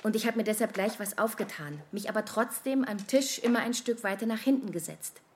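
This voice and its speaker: A woman's voice: mid-range voice, a little fast, lightly acted. An actress playing an anxious, self-conscious woman who rambles and questions herself in a conversational radio play. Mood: anxious, rambling. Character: anxious, self-conscious woman fretting over how she smells. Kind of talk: modern radio play acting, conversational monologue.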